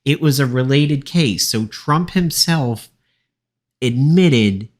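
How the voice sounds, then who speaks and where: A man giving an informal, opinionated political recap as a commentator, talking from notes in a halting, dismissive way. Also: medium pitch, animated.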